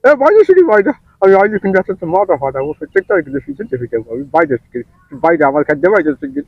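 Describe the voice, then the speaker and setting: An old farmer from the south, panicky and easily enraged, in a comic dub. The voice is high and races along, tripping over repeated words. It sounds close and dry, like a prank call. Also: male voice, huge pitch swings, rapid-fire, gravelly, no echo, phone-quality sound, heavily acted. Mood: pleading, cursing. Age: older. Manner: spluttering, repeats words frantically. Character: excitable old village farmer, panicky and furious.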